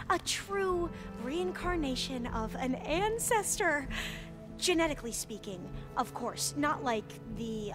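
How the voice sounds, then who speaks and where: High-voiced, eager young scholar. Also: female voice. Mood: awed, flustered. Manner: gushing, halting and self-correcting when flustered. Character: excitable young woman scholar, awed, rambling, earnest. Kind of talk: video game dialogue acting. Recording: loud music behind the voice. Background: music.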